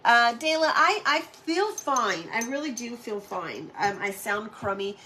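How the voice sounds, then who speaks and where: A woman's voice: a little high, lively intonation, measured pace, pushed and bright, a little hiss or hum. Congested, chatty middle-aged woman. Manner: chatty and friendly, greets viewers by name. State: congested, nasal from sinus trouble. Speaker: craft livestream host. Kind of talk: casual live chat greeting viewers.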